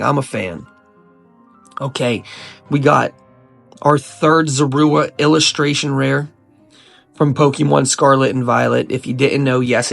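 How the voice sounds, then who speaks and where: A young man with a cold hosts his channel, talking in an excited, casual way and repeating his hype words. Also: medium pitch, gravelly, breathy edge. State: sick, coughing and sniffling. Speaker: YouTube host. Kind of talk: hyped casual commentary.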